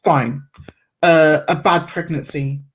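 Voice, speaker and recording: Measured, thoughtful woman podcast guest. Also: low voice, steady tone, husky. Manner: measured, chooses words carefully. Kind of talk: reflective conversational interview.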